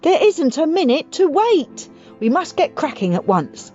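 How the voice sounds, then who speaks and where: A woman voicing a wildly excited, impatient father with grand schemes in a children's audiobook, emphatic and urgent. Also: high voice, a little slow, voice pushed up. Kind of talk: audiobook character voice for children's story. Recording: muffled audio with music behind.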